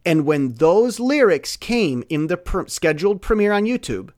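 Male, higher-than-average pitch, loose rambling solo talk, excitable delivery with emphatic repetition. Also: moderate pace. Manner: repeats words and phrases emphatically, excitable. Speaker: musician with an online channel. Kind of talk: casual rambling monologue.

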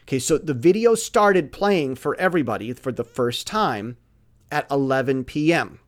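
A man speaking in a casual, rambling monologue, excitably repeating words and phrases for emphasis. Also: mid-range voice, normal speed. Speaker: musician with an online channel.

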